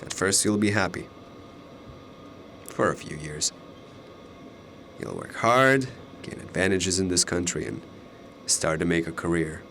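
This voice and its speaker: An actor in a radio drama playing a mysterious older alternate self, fatalistic and persuasive, speaking in a deliberate, ominous way. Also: male voice, low voice, fairly bright tone, a little hiss or hum. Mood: grave, foreboding.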